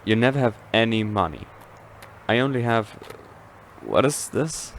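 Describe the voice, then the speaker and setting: An actor playing a creepy henchman in an audio drama, stiff and deadpan. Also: medium pitch, measured pace, slight background noise. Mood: dry, grumbling.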